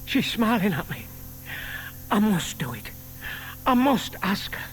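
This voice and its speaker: An older man acting a timid, lovestruck gentleman in a children's audio drama, earnest and nervously muttering. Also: sits high, sing-song, a little slow, a little hiss or hum, full character voice. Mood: nervous, excited.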